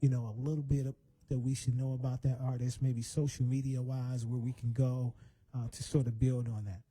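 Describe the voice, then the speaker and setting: A man's voice: mid-range voice, level tone, brisk, warm tone. A man hosting a radio interview, asking questions in a casual, friendly way. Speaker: radio host. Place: radio studio.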